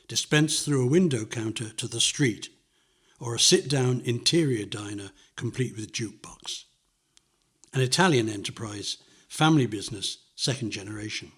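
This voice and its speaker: Older male narrator, husky voice at middling pitch, measured nostalgic memoir read. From London, England.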